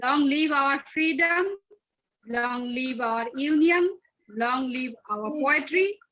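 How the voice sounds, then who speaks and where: A woman from India with an Indian accent, formally and deliberately reciting poetry, pausing between phrases. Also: high-pitched, a bit bright, dull audio. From Tripura, India. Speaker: poet, teacher.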